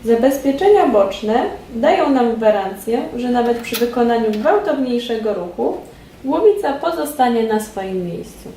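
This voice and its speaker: Female instructor, roomy and echoey sound, formal scripted training narration, measured delivery. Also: fairly high pitch, animated, mellow. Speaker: instructor presenting a beauty device training film. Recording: roomy echo, distant mic, background noise.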